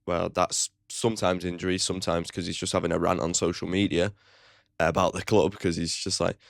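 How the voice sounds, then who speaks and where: A man's voice: low voice, level tone, a little fast, gravelly, dry recording, studio quality. A man hosting his own football fan channel, giving a blunt, opinionated match preview with casual swearing. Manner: chatty, with frequent "like" fillers.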